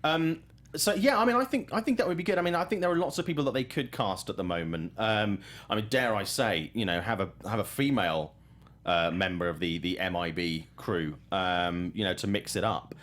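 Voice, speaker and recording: A man's voice: lowish voice, brisk, a bit bright. A man hosting a talk show, giving conversational film commentary and thinking aloud as he goes.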